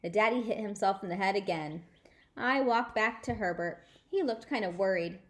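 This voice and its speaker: A woman storyteller reading a children's book aloud in an animated, emphatic way. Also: medium pitch, normal speed. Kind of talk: expressive children's book read-aloud in a child narrator's words.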